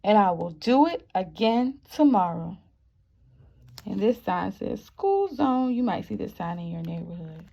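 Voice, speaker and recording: A woman teacher reading a picture book aloud to young children, expressive and encouraging, often asking the listeners questions. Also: mid-range voice, measured pace, gravelly. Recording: dull, muffled lo-fi sound.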